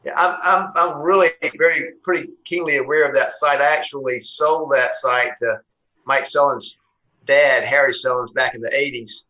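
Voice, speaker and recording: A man in his sixties chairs a public meeting. His voice is medium in pitch, bright and forceful, while his delivery halts as he searches for words. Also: steady tone, moderate pace, rough recording. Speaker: board chairman.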